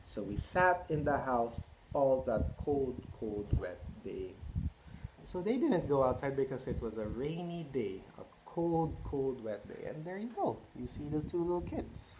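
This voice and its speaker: A man in his twenties reading a storybook aloud to children, expressive, with chatty questions to his listeners. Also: mid-range voice, a little slow, noisy background. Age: 20s. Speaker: children's read-aloud host and early childhood educator.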